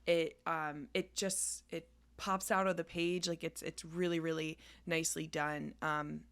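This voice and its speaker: Woman podcast host, lowish voice, casual chatty delivery with restarts. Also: flat delivery, measured pace, a bit bright, clean tone. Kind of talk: casual conversational interview.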